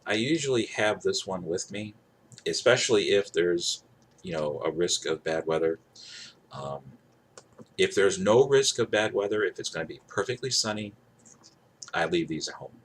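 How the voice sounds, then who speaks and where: A man's voice: low-pitched, a bit bright. A man giving a casual, unscripted show-and-tell, hesitant and rambling, often correcting and repeating himself. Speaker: vlogger showing his weather radios. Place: indoors at home. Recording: clearly noisy home recording.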